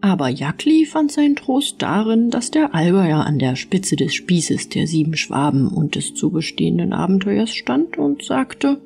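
A woman narrating an audiobook fairy tale in an expressive storytelling style. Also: lowish voice, moderate pace, creaky, few pauses, soft background music.

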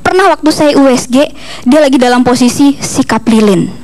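A woman's voice: high voice, moderate pace. A young woman from East Kalimantan, Indonesia, performing a stand-up comedy routine into a handheld microphone on a stage. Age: young adult. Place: TV comedy studio stage with audience. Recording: audience ambience noise behind stage mic.

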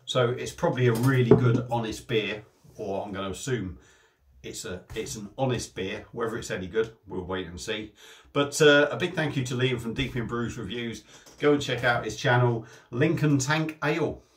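A man's voice: medium pitch, normal speed. A man, casually reviewing a beer to camera from a small home room on a desk webcam, chatty and prone to restarting his phrases.

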